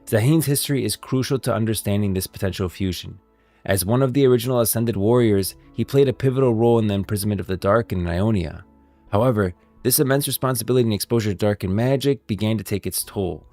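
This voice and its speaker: A male streamer delivers game lore commentary in a fairly low voice. He is emphatic and slips into informal asides, with faint music behind him. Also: fast, mellow, no echo.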